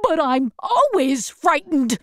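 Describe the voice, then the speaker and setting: A woman voicing a fearful, cowardly lion, read slowly in a storybook. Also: high voice, sing-song, a bit bright, no room sound, studio quality, voice pushed up, heavily acted. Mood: plaintive. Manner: fearful, plaintive.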